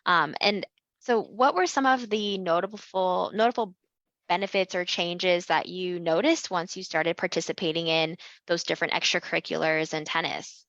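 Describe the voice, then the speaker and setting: A woman's voice: lowish voice, normal speed, fairly bright tone, no room sound, dull audio. A woman hosting a university interview, upbeat and chatty, with many ums and likes. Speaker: university international admissions host.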